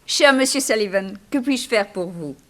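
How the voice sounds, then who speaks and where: A woman's voice: on the high side, expressive, moderate pace, fairly bright tone. An actress playing a gracious, obliging lady newspaper reporter in a 1960s French radio drama, with a polite, eager manner. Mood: gracious, pleased.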